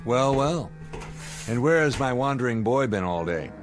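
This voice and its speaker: An American actor playing an irritable, sarcastic spaceship commander in a sci-fi radio drama, wry and needling. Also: male voice, medium pitch, lively intonation, moderate pace, over music, natural voice.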